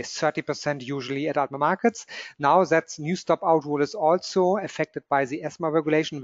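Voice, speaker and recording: A man's voice: on the high side, no echo, lo-fi sound. A man with a German accent explaining trading rules in a webinar, sometimes searching for the right English word. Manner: searches for English words, loose phrasing. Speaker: trading expert.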